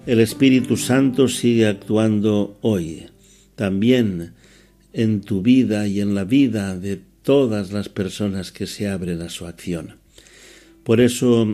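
A man's voice: fairly low pitch, dark tone. A man who is a priest, hosting a religious programme and introducing a segment. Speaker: host, priest leading a catechesis programme.

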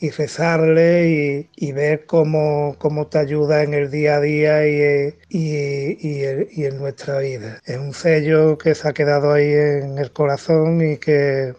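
A man from southern Spain with an Andalusian accent, giving a halting devotional testimony on a dull, lo-fi recording. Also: on the high side, little pitch movement, darkish tone. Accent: Andalusian Spanish. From Chipiona, southern Spain. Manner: halting, repeats words. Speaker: radio volunteer.

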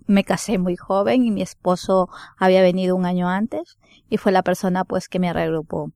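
A woman's voice: on the low side, fairly even intonation, normal speed, vocal fry, a little mellow, dry recording, clean studio sound. A young woman with an Ecuadorian accent giving a polite, earnest personal testimony as a radio guest, a little hesitant. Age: young adult.

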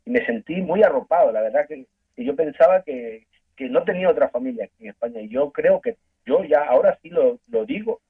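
A man from Ecuador with an Ecuadorian accent, earnestly telling his story as a guest in a phone-in interview, halting and restarting often. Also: fairly high pitch, big pitch swings, breathy edge. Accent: Ecuadorian Spanish. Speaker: guest giving a testimony. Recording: tinny phone line.

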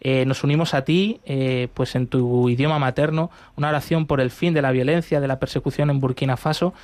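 Radio host in a hesitant interview. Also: male voice, mid-range voice, fairly even intonation, a little fast. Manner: warm, faltering, many fillers.

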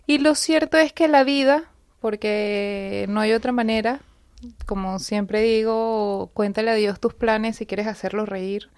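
An earnest woman tells her own story as a guest on a radio program. Her voice sits at an ordinary pitch and comes across dry and close, with no room around it. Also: steady tone, a little slow, darkish tone, smooth, no echo. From Venezuela. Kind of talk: personal testimony in a radio interview. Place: radio studio.

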